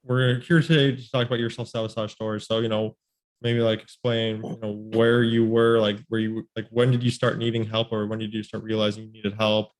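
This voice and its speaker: A man's voice: fairly low pitch, level tone, a little fast, low-quality recording. A man hosting a podcast interview, talking in a rambling way full of "you know" and "like" fillers. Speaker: podcast host. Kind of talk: casual interview conversation.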